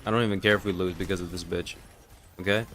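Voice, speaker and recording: Congested man, low voice, streaming games. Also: steady tone, fast talker, fairly bright tone, slight background noise. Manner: profane, rambling, full of "like" and "you know". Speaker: livestreamer. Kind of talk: casual live gameplay commentary.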